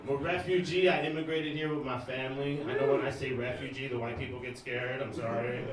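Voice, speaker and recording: A man's voice: mid-range voice, fairly even intonation, a little fast. A man originally from Iran doing a stand-up set on a club stage, chatting loosely with the crowd and throwing in asides. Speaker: stand-up comedian and DJ. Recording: very echoey club room, mic far off, crowd loud. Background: audience laughter and cheering.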